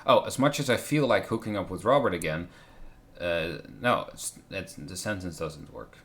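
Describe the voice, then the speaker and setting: A man's voice: low-pitched, normal speed, a bit bright. A man reading a visual novel aloud on a stream, breaking off with wry commentary. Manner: wry, interjects remarks on the script. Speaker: game streamer, co-reader. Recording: faint noise on a live stream.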